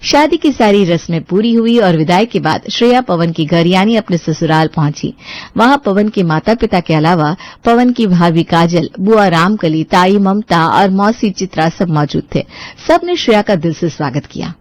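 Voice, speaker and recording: A woman's voice: on the low side, animated, normal speed, darkish tone, no echo. Woman narrator, dry close sound. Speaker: story narrator. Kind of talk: scripted story narration.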